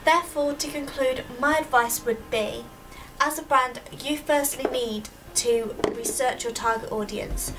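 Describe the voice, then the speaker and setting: Young female student presenter, high voice, earnest and explanatory, recorded at a distance from the mic. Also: a little slow, music in the background. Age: young adult. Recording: distant microphone with audible background noise.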